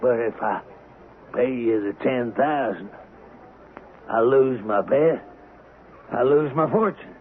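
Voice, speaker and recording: An actor playing a brash, ruthless Old West gambler in a radio drama, gravelly, mocking and blustering with a folksy drawl. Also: male voice, medium pitch, takes it slowly, long pauses, close to an everyday voice. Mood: flustered, angry. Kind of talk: 1970s radio drama acting. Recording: narrow-band vintage radio recording with faint hiss.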